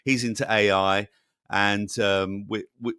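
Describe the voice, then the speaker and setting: A man's voice: on the low side, flat delivery, measured pace, bright, forceful tone, smooth, no echo. Rambling man, dry sound. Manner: rambling, many fillers and restarts; jokey. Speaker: channel host, guest. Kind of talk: casual interview chat.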